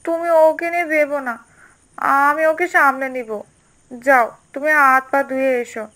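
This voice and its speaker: A woman's voice: sits high, moderate pace, sharp tone. A woman voicing a patient young boy's mother in a cartoon, reassuring and coaxing. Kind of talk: cartoon voice acting. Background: background music.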